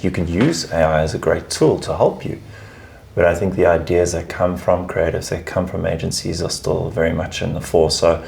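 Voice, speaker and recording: Guest spot: man, deep gravelly voice, emphatic chatty answers. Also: darkish tone. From South Africa. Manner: emphatic, repeats words while finding phrasing. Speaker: guest, advertising research lead. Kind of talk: casual interview chat.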